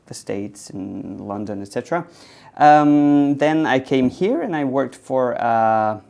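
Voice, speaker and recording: Male CEO guest raised in Spain, casual rambling interview answers, average pitch. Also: gravelly. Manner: relaxed storytelling with frequent ums and uhs. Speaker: CEO of a tech hub. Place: indoors in an office building.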